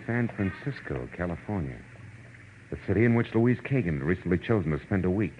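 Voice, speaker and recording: An actor playing a hard-boiled, wry private detective in old-time radio noir, speaking in a tough, clipped way. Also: male voice, low-pitched, background noise, natural voice. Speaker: actor narrating and starring in a radio drama. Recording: dull old radio broadcast with music.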